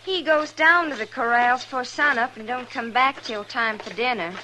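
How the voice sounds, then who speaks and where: An actress playing a plain-spoken young rancher's daughter in an old radio western, rustic and matter-of-fact. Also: female voice, on the high side, moderate pace, sharp tone. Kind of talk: 1950s radio western acting. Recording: noisy vintage radio recording.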